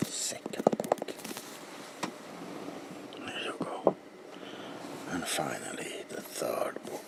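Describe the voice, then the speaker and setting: A man whispering slowly and deliberately in an ASMR roleplay as a politely attentive bookseller, with a breathy, low voice. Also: animated, rough recording. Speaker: ASMR performer playing a bookseller.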